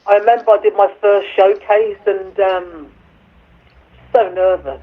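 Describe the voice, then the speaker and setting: Deep-voiced singer lady on the phone, chatty, lots of ums, thin tinny line. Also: fairly even intonation, a little slow. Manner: chatty and a little self-deprecating, hesitant fillers. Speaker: singer being interviewed. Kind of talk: casual chat over the phone. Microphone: telephone.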